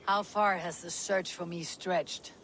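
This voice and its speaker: An actress playing an honorable, resolute Viking warrior woman in a video game, measured, formal and earnest in manner. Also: fairly low pitch, steady tone, fairly bright tone, slight background noise. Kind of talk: video game drama acting. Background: horse hooves and outdoor ambience.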